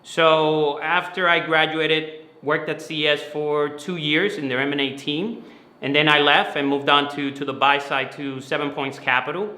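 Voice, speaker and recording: A man with a bright, forceful, slightly high voice explains himself earnestly in a conversational interview. He often doubles back on words as he lays out his points, and the room adds a clear echo. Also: fairly even intonation, moderate pace. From Dominican Republic, moved to New York City at eleven. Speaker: guest, finance mentoring company founder. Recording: roomy, echoey sound.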